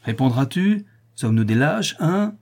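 A man voicing a fierce, quick-tempered woman prisoner who shouts and bullies, in a husky voice. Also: on the high side, expressive, pitched above the performer's own voice. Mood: furious. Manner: harsh, shouting, bullying.

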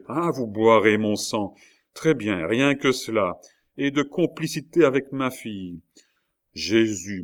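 A man's voice: lowish voice, natural voice. A man voicing an old jailer father in an audiobook, menacing, sarcastic and choked with anger. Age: older.

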